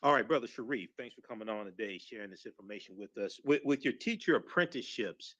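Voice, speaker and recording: A man asking questions conversationally as a panelist, in a halting way as he feels out his wording. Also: fairly low pitch, animated, a bit bright, dry recording, muffled recording.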